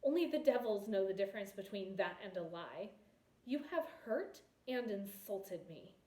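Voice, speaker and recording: A woman's voice: medium pitch, lively intonation, moderate pace, darkish tone, close to an everyday voice. A woman voicing a proud, wounded young princess in a storybook, with clipped, accusing delivery, in a roomy space. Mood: hurt, accusing.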